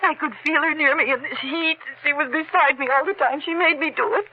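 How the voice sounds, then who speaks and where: An actress playing a desperate, unhinged murderess in a breathless, melodramatic 1940s radio thriller style. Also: female voice, high voice, brisk, lightly acted. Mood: haunted, bitter. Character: desperate, unhinged young woman murderer, haunted by dead sister. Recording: noisy, muffled 1940s radio recording.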